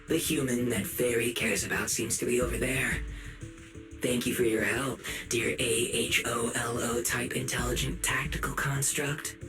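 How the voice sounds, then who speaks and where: A man's voice: mid-range voice, bright, forceful tone. A man playing a sinister, taunting video game sprite who poses as a caring advisor, theatrical and falsely sympathetic. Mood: cold menace. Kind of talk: dramatic video game voice acting.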